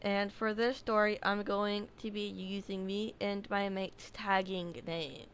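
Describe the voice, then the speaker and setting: A woman narrating a submitted true horror story, reading slowly with small slips and casual asides. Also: mid-range voice, level tone, fairly bright tone, slight background noise.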